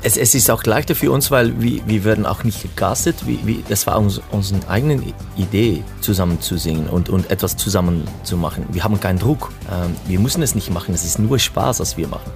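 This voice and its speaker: A man with a non-native accent, a band member chatting casually in an interview, halting and repeating words as he searches for phrasing. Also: on the low side, creaky, over music. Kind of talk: casual chat.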